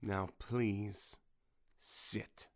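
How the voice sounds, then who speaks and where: An American man acting in an audio drama as a middle-aged, menacing, vengeful father, speaking with deliberate, controlled menace. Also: fairly low pitch, fairly even intonation, slight background noise. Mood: cold, commanding.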